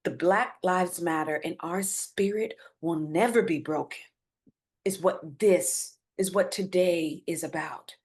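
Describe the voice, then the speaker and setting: A woman's voice: lowish voice, measured pace, natural voice. A woman playing an earnest, hopeful bereaved mother in a dramatic script reading, pleading and placating yet firm as she argues for forgiveness.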